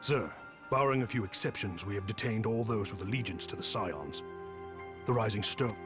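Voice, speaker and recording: An actor playing a dutiful, formal soldier reporting to a superior in a fantasy video game. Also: male voice, on the low side, expressive, normal speed, over music, natural voice. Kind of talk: dramatic video game acting.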